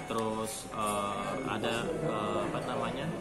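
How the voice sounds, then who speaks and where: A man from Jakarta giving informal interview answers as an executive chef, explaining things with hesitant pauses. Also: fairly low pitch, steady tone. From Jakarta, Indonesia. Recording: loud music in the background.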